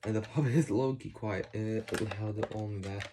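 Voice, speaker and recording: A man's voice: fairly low pitch, fairly even intonation. Man explaining casually over noticeable noise. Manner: halting, informal explaining. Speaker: host of a how-to video. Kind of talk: casual hands-on demonstration. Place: indoors. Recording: noisy room with clicks and handling sounds.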